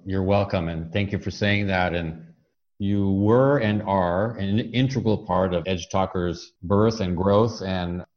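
A man's voice: deep voice, steady tone, unhurried, darkish tone, highs cut off. A man hosting an interview podcast, speaking in an effusive, appreciative way. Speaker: podcast host. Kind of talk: interview chat.

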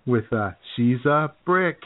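An American man co-hosting a radio show, telling casual, joking stories in a halting way, often clearing his throat. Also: medium pitch, lively intonation. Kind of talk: casual comic chat, telling anecdotes. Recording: phone-like, narrow-band sound.

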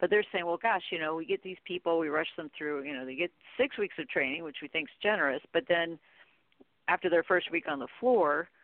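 A middle-aged woman giving conversational interview answers as a guest expert, telling things in an animated way with quoted dialogue. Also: deep voice, brisk, no echo. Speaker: guest expert, training company chief executive. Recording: narrow phone-like line.

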